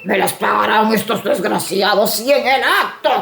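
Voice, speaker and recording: A woman voicing a menacing male ogre in a dramatized fairy tale, with a gravelly voice and drawn-out, snarling delivery. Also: medium pitch, fairly bright tone, reverberant. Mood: vengeful.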